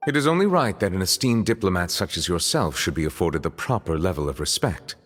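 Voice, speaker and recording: Deep, dignified high official. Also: male voice, sing-song, fairly quick, big-room sound. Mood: courteous, reserved. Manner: formal, courteous, grave. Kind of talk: video game voice acting.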